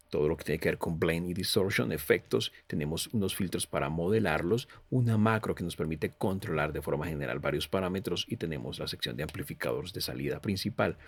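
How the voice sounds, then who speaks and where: A man's voice: a bass voice, breathy edge, no echo. A man presenting a tutorial walkthrough in an explanatory way, often repeating and rephrasing himself. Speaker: presenter of a music software review. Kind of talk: tutorial walkthrough narration in Spanish.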